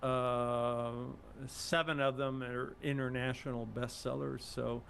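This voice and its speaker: A man's voice: mid-range voice, flat delivery, slow, creaky, fairly bright tone, a little hiss or hum. An older man from Cuba, a guest in an interview, speaking modestly with many fillers and restarts. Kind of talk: casual interview talk.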